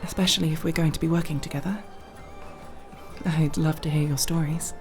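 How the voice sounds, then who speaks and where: A nervous young elf rogue, acted by a woman in an ASMR roleplay, speaks in prim, elaborate phrases. Her voice sits a little low for a woman, and she hesitates politely while keeping her pride. Music plays behind her. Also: steady tone, a little fast, natural voice. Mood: awkward, curious. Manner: formal, wordy, prim diction with hesitations. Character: nervous, proud, formal young female elf thief.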